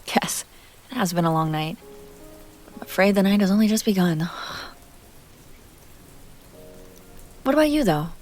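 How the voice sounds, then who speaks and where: A woman's voice: mid-range voice, expressive, a little fast, darkish tone, faint music behind, lightly acted. A woman playing a self-assured, playful vampire heiress in an intimate roleplay audio drama, talking directly to the listener. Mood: wry, friendly.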